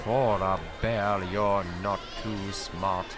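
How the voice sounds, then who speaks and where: A man's voice: on the low side, little pitch movement, takes it slowly, music in the background. A man voicing a bossy stuffed owl who is an impatient, superior know-it-all in a comic audio drama. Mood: smug, bossy. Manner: exasperated, superior, commanding.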